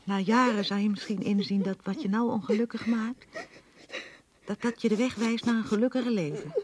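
An actress playing a world-wise middle-aged mother in a radio play, speaking in a husky, gentle, consoling way. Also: mid-range voice, brisk, natural voice. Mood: consoling, tender.

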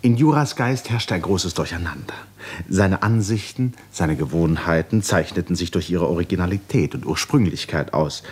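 A man narrating a literary 1950s radio play with dramatic, deliberate phrasing that often falls to hushed endings. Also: sits low. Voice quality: breathy, husky.

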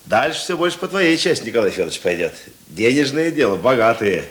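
An actor playing a hearty, dreamy, slightly weary police chief in a naturalistic radio drama, musing conversationally. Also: male voice, medium pitch, sing-song, fast talker, fairly bright tone, slight background noise.

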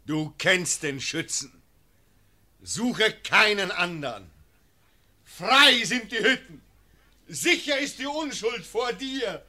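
An actor playing a grim, resolute archer proclaiming from a distance in a classic radio play. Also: male voice, sits high, lively intonation, slow, pauses often, pushed and bright, heavily acted.

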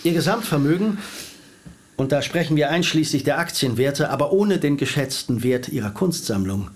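Man voicing a precise Swiss lawyer, measured legal phrasing. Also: a little high, animated, close to an everyday voice. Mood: formal. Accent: Swiss German. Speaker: lawyer reading a will. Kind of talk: radio drama acting.

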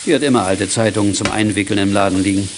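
An actor playing a sensible, cautious adult man in a German children's audio drama, speaking in a measured, slightly hesitant way. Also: fairly low pitch, steady tone, background noise, lightly acted. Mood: calm, practical.

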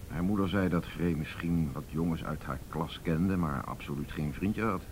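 World-weary detective, low voice, blunt and factual, radio play with faint rumbling noise. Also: male voice, normal speed, darkish tone. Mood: blunt, speculating. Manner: blunt, matter-of-fact. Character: blunt, world-weary male police detective briefing a colleague. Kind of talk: radio drama acting.

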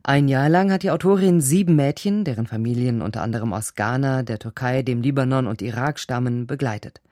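A woman's voice: a bass voice, brisk, warm tone, dry recording, studio quality. A woman reading a scripted programme announcement as a radio announcer.

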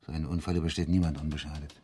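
An actor playing a gruff, dry police detective, brusque and authoritative, in a radio drama. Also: male voice, rumbling low, flat delivery, rapid-fire, mellow, slight background noise. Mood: dry, businesslike. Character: gruff, dry, commanding male police detective, middle-aged son. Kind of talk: German radio drama acting.

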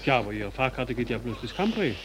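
An actor playing a courteous, matter-of-fact train ticket inspector in a 1940s German radio play, unhurried but insistent. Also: male voice, mid-range voice, very animated. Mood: polite. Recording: noisy old radio recording.

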